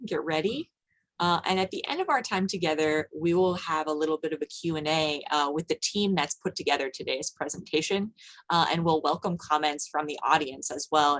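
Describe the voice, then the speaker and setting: A woman speaks as the host of an online arts event. Her voice sits a little lower than average. She addresses her audience in an informal, effusive way, as someone welcoming guests on a video call. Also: fairly bright tone, poor audio. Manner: effusive, greeting people by name.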